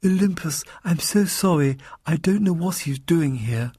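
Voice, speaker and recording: A sour upper-class sister as a male storybook reader puts her on, pitched higher. She sounds exasperated, her words thick and clipped with disdain. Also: lively intonation, measured pace, warm tone, husky, no echo, studio quality. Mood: apologetic.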